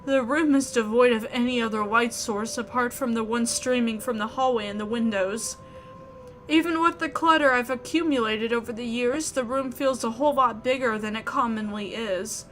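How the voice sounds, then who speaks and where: Female game narrator, bright and emotive, reading a visual novel over music. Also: fairly high pitch, normal speed. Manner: theatrical, emotive. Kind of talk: expressive dramatic read-aloud narration. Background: background music.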